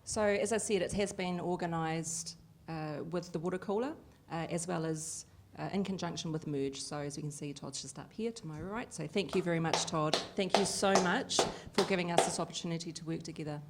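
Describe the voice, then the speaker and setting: A woman voicing a Deaf host live at an evening event, lively and chatty with frequent fillers. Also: lowish voice, brisk, few pauses, slight background noise. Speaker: sign language interpreter voicing the Deaf host. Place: event venue with an audience.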